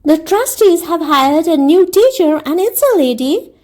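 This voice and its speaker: A woman's voice: very high-pitched, expressive, a little slow, a little mellow, pitched above the performer's own voice. A woman voicing an eager, dreamy, talkative young girl, gushing earnestly as a storybook character. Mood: eager, chatty. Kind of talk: audiobook character reading.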